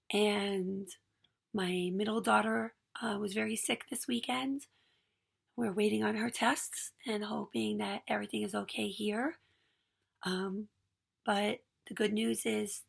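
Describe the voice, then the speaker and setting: A hoarse woman in her forties sharing a heartfelt personal message in a raspy voice, earnest and pausing with frequent ums. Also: medium pitch. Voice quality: gravelly, raspy voice. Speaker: small business owner.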